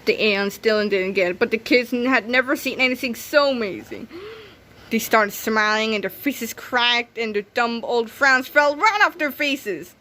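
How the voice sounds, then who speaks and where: A woman's voice: on the high side, moderate pace, sharp tone. A woman reading a children's comic book aloud expressively, sometimes stumbling over words. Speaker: read-aloud storyteller.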